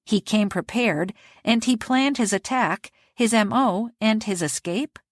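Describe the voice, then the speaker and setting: A woman hosting a podcast, reading scripted true-crime narration in a measured, even way. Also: medium pitch. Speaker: podcast host.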